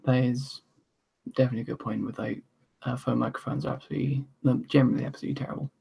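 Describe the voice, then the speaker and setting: A man chatting on a livestream in a calm voice. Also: medium pitch, racing, vocal fry, breathy edge. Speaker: guest filmmaker. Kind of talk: casual group chat.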